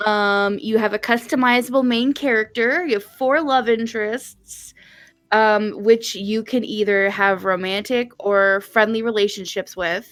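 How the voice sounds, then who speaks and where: A woman's voice: medium pitch, steady tone, a little slow, a bit bright. A woman co-hosting a casual live stream, rambling and playful, with some swearing. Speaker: stream co-host and artist.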